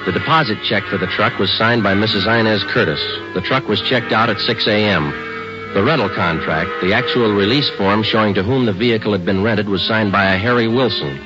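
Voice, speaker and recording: An actor playing a terse police detective who narrates his case in a clipped, deadpan way, 1950s radio drama style. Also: fairly low pitch, brisk, over music, natural voice. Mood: matter-of-fact. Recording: muffled vintage radio recording.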